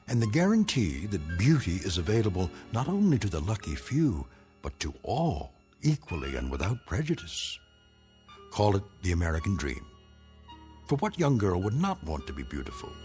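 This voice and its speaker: An actor as the grave, knowing narrator of an eerie radio drama, speaking in a measured, portentous and ironic way. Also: male voice, fairly low pitch, wildly swooping pitch, darkish tone, soft background music.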